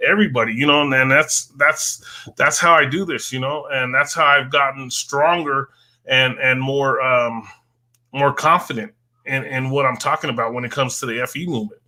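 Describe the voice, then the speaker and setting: A man's voice: medium pitch, fairly even intonation, moderate pace, sharp tone. A man hosting a live stream in a casual chatty way, often hesitating and repeating his words.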